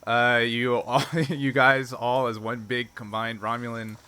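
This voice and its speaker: A man's voice: mid-range voice, flat delivery, unhurried, bright, forceful tone, smooth. A man acting as game master, narrating a tabletop game casually and checking in with the players. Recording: faint background noise.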